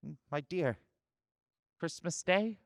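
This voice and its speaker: A man playing a kindly, mild family father in a radio drama, speaking gently and a little hesitantly. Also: on the high side, takes it slowly, gravelly, no echo, clean studio sound, heavily acted. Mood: gently pleading. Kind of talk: stage-style radio drama acting.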